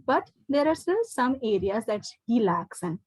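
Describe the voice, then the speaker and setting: Indian-accented woman teacher, higher-pitched, slightly husky. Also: sing-song, moderate pace, a little mellow, no echo, poor audio. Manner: frequent "okay" and "right?" check-ins. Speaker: teacher giving feedback to a parent. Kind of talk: explanatory talk on a video call. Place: indoors, online class video call.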